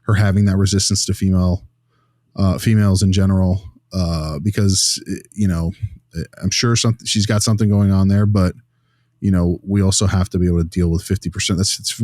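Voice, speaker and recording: A man's voice: low voice, steady tone, fairly quick, darkish tone, no echo, clean studio sound, lightly acted. A man playing an earnest behavior consultant in an improvised role-play, reasoning aloud in a casual, rambling way. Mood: earnest, measured. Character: earnest male behavior analyst consulting on a child's case.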